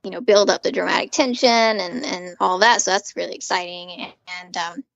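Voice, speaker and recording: A woman's voice: on the low side, fairly even intonation, normal speed, creaky, no room sound, dull audio. A woman co-hosting a podcast, recapping casually as an enthusiastic fan with rambling asides. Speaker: podcast co-host. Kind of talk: casual chatty episode recap.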